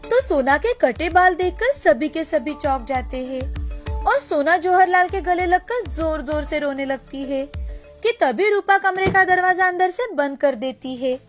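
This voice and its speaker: A woman's voice: extremely high pitch, expressive, a little slow, soft background music. A woman storyteller reading scripted moral tales as a narrator.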